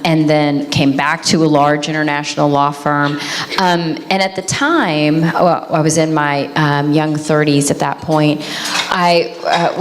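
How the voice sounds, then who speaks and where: A woman in her forties introducing herself on a conference panel, chatty with many ums and restarts, speaking into a handheld mic in a large room. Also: low voice. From England. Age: 40s. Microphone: handheld microphone. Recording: long hall reverb, faint hiss.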